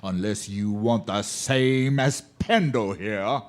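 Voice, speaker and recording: Slow-drawling menacing cowboy bully, acted by a man. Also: lowish voice. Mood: gloating menace. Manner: folksy drawling cowboy talk. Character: menacing male frontier bushwhacker, sly and bullying. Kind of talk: Western radio drama acting.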